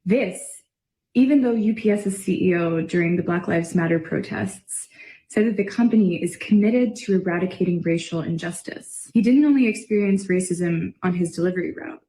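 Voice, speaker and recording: A woman reading a scripted news story in an earnest, steady way, played back as a clip. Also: fairly low pitch, moderate pace, reverberant, slight background noise, rough recording. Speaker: narrator of a social media news clip. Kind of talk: scripted narration.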